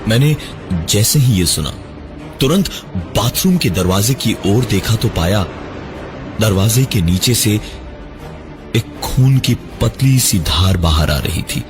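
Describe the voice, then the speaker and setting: A man narrating a scripted first-person horror story in a dramatic, halting, suspenseful manner. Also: on the low side, lively intonation, music in the background. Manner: dramatic, halting delivery with suspenseful breaks and repeated words.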